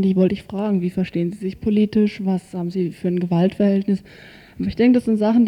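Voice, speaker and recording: A woman reporter speaks at a medium pitch, interpreting and commenting in an informal, improvised way. Her phrasing halts as she searches for wording. The radio recording is dull and lo-fi. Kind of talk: spoken consecutive translation and informal radio commentary.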